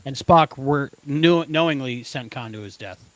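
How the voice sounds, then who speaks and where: A man co-hosting a casual review podcast, speaking haltingly as he searches for words and stumbles over the starts of his phrases. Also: medium pitch, no echo, poor audio. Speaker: podcast co-host.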